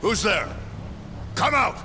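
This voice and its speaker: An actor playing a cruel, mocking yakuza captain in a video game, with a gravelly voice and a sneering, taunting manner. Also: male voice, sits high, big pitch swings, bright, forceful tone, slight background noise, heavily acted. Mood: alarmed, aggressive. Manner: slow, sneering, taunting drawl. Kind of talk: video game cutscene acting.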